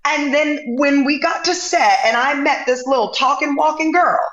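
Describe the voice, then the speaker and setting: A woman in her forties from the US Midwest, a guest actor telling long, relaxed stories with lots of fillers in a casual interview. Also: sits high, moderate pace, roomy sound, muffled recording. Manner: relaxed, rambling storyteller with many fillers.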